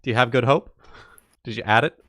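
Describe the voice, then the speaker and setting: A male player in a casual tabletop game chat, talking in a low-key way. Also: fairly quick, sharp tone, no echo.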